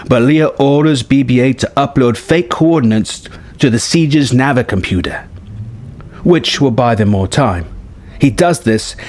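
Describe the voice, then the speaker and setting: A man's voice: medium pitch, a little mellow. A man reading a scripted story treatment aloud as narrator. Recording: music behind the voice. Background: background music.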